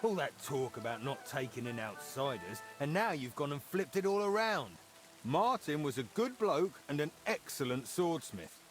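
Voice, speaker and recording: Lively, blunt guildsman. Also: fairly high pitch, moderate pace, soft background music. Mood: exasperated. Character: blunt, argumentative guild craftsman. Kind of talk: video game dialogue acting.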